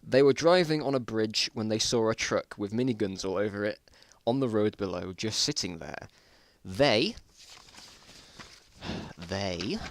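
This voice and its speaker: A man's voice: on the low side, a little hiss or hum. A man narrating a story aloud as an amateur dramatic reading, with wry, self-mocking asides.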